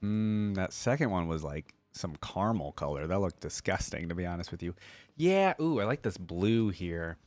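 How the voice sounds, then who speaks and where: A man's voice: low voice, animated, normal speed, a little mellow, dry recording, soft background music. A man giving casual reaction commentary as a video host, thinking aloud in informal, slangy language.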